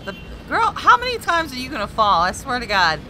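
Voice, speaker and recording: A woman's voice: high voice, wildly swooping pitch, brisk, slight background noise. A woman hosting a let's play, reading visual novel narration dramatically with casual, informal asides. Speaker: gaming video host. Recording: loud game music behind the voice. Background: game soundtrack.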